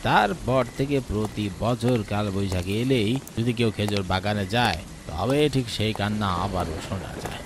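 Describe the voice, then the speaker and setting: A worried old village grandfather from a horror cartoon, played by a man, speaks in a fairly low voice. He scolds and warns gravely, with clear rain noise around him. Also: normal speed, close to an everyday voice. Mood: grave, ominous. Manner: admonishing, grave storyteller. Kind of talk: Bengali horror cartoon dialogue.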